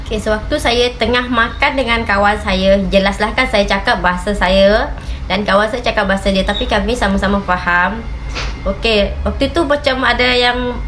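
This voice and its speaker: A woman's voice: mid-range voice, moderate pace, a bit bright, a little hiss or hum. A woman from Malaysia with a Malaysian Malay accent, telling a travel story in a casual, chatty vlog, full of "okey" and "macam" fillers. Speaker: reaction channel vlogger.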